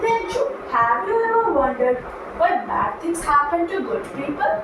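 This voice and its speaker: A woman acting as interviewer in a scripted puppet show, with a deliberate, recited delivery. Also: very high voice, sing-song. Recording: very echoey room, distant mic, noisy.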